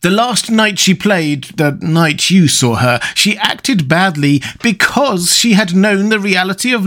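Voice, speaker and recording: A man reading a classic novel aloud, voicing a callous, vain young gentleman in an affected, theatrical manner. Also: high-pitched, pitched above the performer's own voice, heavily acted.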